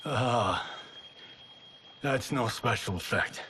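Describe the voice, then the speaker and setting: A man's voice: mid-range voice, slow, very noisy, close to an everyday voice. A man voicing a cocky, wisecracking movie star in a cinematic video game, sarcastic and theatrical with an American accent. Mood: baffled, skeptical. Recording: cinematic music under the voice. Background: music.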